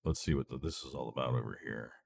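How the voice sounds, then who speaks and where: A man's voice: a bass voice, quick pace, gravelly, darkish tone. A man with a stuffy, nasal voice from a cold, rambling and thinking aloud as he plays a video game. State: recovering from illness, congested. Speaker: let's play gamer. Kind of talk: casual gameplay commentary.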